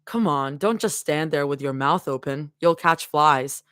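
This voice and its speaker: A woman voicing a dry, unimpressed young woman in a low, deadpan voice while reading a story aloud. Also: unhurried, smooth, no echo.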